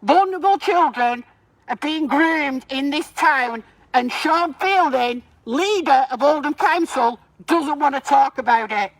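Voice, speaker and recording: A woman's voice: high voice, measured pace, no room sound, low-quality recording. A woman gives a confrontational, accusatory protest speech out on a street, addressing bystanders through a megaphone. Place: outdoors on a residential street.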